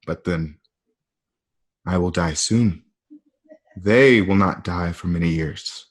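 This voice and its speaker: An actor in a dramatic script reading, playing brooding, soulful men of ancient Rome in short, weighted phrases. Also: male voice, low voice, slow pace. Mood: wistful.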